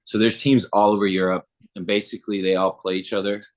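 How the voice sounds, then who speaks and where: A young man in his twenties from Germany, answering interview questions in a relaxed, upbeat way with frequent filler words. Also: on the low side, fast. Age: 20s. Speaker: guest, football player.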